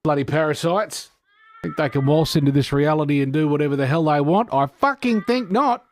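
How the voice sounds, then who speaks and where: A man's voice: a little high, brisk, a little hiss or hum, natural voice. A man playing a hardened, sardonic, sweary male survivor in an audio drama. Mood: scornful.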